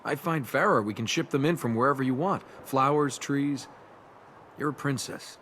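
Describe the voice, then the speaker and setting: An actor playing a cocky young adventurer and reluctant hero in a video game, with a wry, flippant, casual delivery. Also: male voice, mid-range voice, moderate pace, a bit bright, slight background noise, close to an everyday voice. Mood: casual, gentle.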